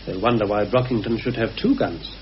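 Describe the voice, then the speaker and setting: Actor as anxious British conspirator, muffled old radio. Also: male voice, lowish voice, brisk, a little hiss or hum, natural voice. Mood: grave, uneasy. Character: anxious, cautious male conspirator confiding a grave suspicion. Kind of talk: radio play acting.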